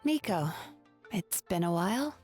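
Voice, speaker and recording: A woman playing a composed, gentle therapist in a video game, polite, measured and a little wry. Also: mid-range voice, animated, soft background music, natural voice. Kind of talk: English video game voice acting.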